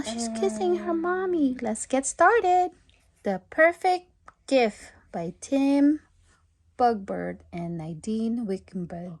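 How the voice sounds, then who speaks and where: A woman hosting a children's storytime, reading a picture book aloud in a sing-song, child-directed way. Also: sits high. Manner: sing-song, animated talk addressed to young children. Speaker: storytime read-aloud host. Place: at home.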